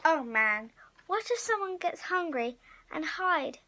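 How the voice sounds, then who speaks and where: A child reading a picture storybook aloud expressively, acting out the hero and halting over some words. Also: very high-pitched, sing-song, pushed and bright, a little hiss or hum. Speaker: story reader.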